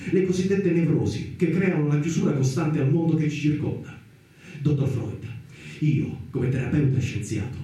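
An actor playing an earnest, principled intellectual in a radio play, arguing his case in a measured, insistent way. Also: on the high side, mellow, natural voice. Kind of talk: theatrical monologue in radio play. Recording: very echoey, distant, music behind.

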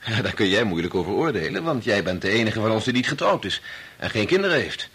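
An actor playing a touchy, argumentative married father in a Dutch radio play, blunt and sarcastic, with a very husky voice. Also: fairly low pitch, sing-song, fast, a bit bright, slight background noise.

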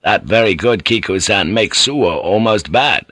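Man playing a hearty foreign guest, halting phrases. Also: on the low side, lively intonation, pushed and bright, husky, no echo, clean studio sound, full character voice. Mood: relieved, pleased. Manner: halting, simple broken phrases.

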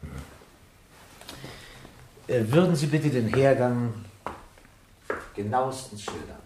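Sceptical police inspector, echoey room. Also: male voice, mid-range voice, lively intonation, takes it slowly, noisy background. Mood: probing. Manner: formal, questioning, dryly insistent. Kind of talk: German radio crime drama acting.

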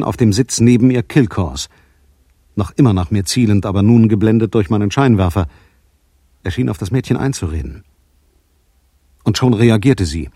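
An actor playing a hard-boiled private detective, narrating a crime radio drama in a terse, matter-of-fact way with a husky voice. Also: male voice, lowish voice, warm tone, no room sound, lightly acted. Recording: dry 1980s studio radio drama.

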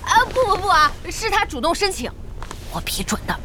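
An actress playing a bossy, warm-hearted, chatty young woman who runs an internet cafe in a radio drama, brisk and expressive. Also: very high voice, slight background noise, exaggerated voice. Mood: brisk, friendly.

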